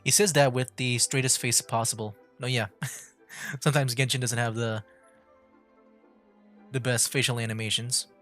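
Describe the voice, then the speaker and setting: A man's voice: mid-range voice, fairly even intonation, normal speed, long pauses, fairly bright tone, faint music behind. A man giving casual livestream commentary on a game, with wry, easygoing asides. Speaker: streamer, gamer.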